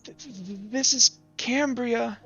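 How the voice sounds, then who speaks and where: A man voicing an anxious, hesitant teenage boy in a tabletop game, stammering and halting as he talks. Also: very high voice, bright, forceful tone, slightly rough, dull audio, soft background music, voice pushed up, full character voice. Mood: nervous, secretive. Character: anxious, hesitant teenage boy named K one, recalling bad news.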